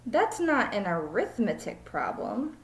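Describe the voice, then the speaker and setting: Woman reading as a blunt, sassy schoolgirl, animated pitch. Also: unhurried. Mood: matter-of-fact. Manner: blunt, matter-of-fact. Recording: echoey room.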